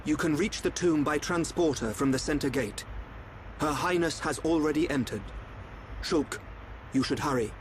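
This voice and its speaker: A man voicing a calm, enigmatic young seer of a royal court, speaking in a measured, formal, cryptic way in a video game. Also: on the high side, a little hiss or hum, close to an everyday voice. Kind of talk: scripted video game cutscene acting.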